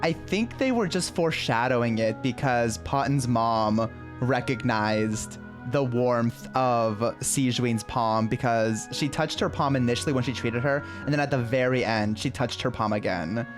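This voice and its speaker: A game streamer thinks aloud in casual, slangy commentary. The delivery is slow and unhurried at a medium-low pitch, with faint music behind. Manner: informal, slangy, musing aloud.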